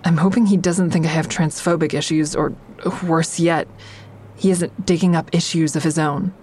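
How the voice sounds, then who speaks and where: A woman's voice: low-pitched, fairly even intonation, moderate pace, a little mellow, slight background noise, natural voice. An actress playing a frank, sardonic young woman who narrates her own story in an audio drama in a confessional, wry way. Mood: uneasy, reflective. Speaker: actor in a fiction podcast.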